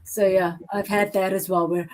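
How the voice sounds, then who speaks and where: A woman in a casual video call chat, animated as she tells her story. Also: medium pitch, normal speed, low-quality recording. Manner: animated storytelling, acts out quoted people. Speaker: guest member.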